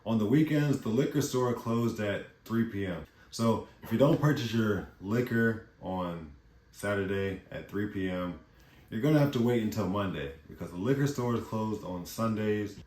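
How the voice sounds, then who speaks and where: Man from the US, young adult, low voice, casual slangy vlog talk, roomy echo. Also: moderate pace. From United States. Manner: relaxed, chatty, slangy. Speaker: vlogger. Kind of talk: casual talking-head vlog. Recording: echoey room.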